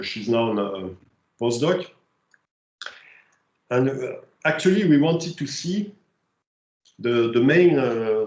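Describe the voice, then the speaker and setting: A man's voice: medium pitch, a little mellow, dull audio. Guy giving a science talk, lots of uh and restarts, echoey room. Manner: hesitant, many fillers and restarts. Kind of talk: academic presentation with slides.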